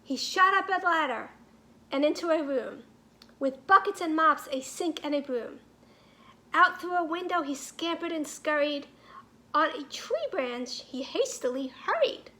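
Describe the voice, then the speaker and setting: A woman reading a children's picture book aloud in an animated, emphatic storytelling manner with deliberate phrasing, like a teacher. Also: high voice.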